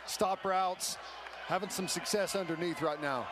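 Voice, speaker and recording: A man's voice: high-pitched, measured pace, a loud crowd. An American man giving conversational, explanatory color analysis during a live football radio broadcast. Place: stadium broadcast booth. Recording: clear stadium noise behind.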